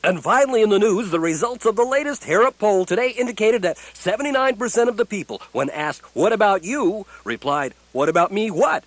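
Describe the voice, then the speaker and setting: An actor in a satirical radio play playing a brisk, deadpan male newscaster reading a parody bulletin. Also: sits high, dry recording, low-quality recording.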